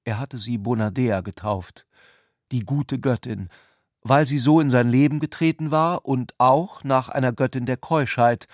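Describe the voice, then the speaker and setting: A man reading scripted literary narration for a radio play in a measured, articulate way. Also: mid-range voice, no echo, studio quality.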